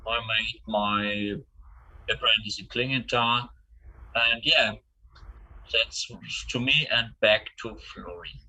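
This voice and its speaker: Very bright, sharp German-accented man. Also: lowish voice, steady tone, takes it slowly, poor audio. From East Germany. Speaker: accordion craftsman. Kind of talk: self-introduction on a webinar.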